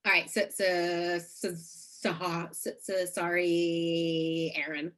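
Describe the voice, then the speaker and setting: A woman's voice: lowish voice, little pitch movement, drawn-out words, a bit bright. A woman co-hosting an online event who explains how to ask questions, using lots of fillers and stuttering on some words over a video call. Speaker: co-host. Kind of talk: giving event instructions.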